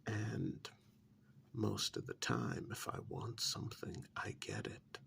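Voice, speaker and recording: Casting: male actor for smug, sardonic pampered captive, ASMR role-play. Low, very gravelly murmur, unhurried drawl. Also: big pitch swings, a little hiss or hum, full character voice. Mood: smug, boastful. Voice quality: soft, half-whispered, gravelly.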